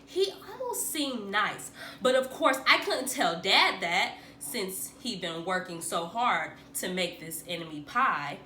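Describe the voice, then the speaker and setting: A woman reading a children's picture book aloud in an expressive, theatrical way, like a teacher acting it out for young pupils. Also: medium pitch, slow pace, hardly any pauses, a little hiss or hum. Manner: theatrical, acts out the dialogue.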